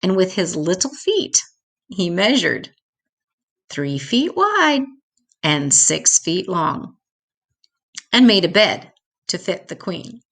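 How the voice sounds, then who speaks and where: An older woman reading a children's picture book aloud in a deliberate, expressive way, drawing out key words for young listeners. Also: on the low side, gravelly.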